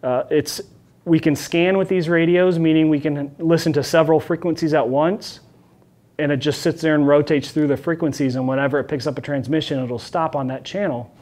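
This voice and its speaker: A middle-aged man speaks as a police officer presenting to the public. His voice sits a little higher than average. He explains things informally, straight to camera, in a meeting room. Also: steady tone, brisk, a little mellow.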